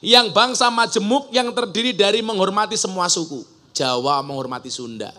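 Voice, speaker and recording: A man's voice: sits high, normal speed, pushed and bright. A man preaching a humorous sermon to a gathering, joking and mimicking regional dialects. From Java. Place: outdoor religious school gathering.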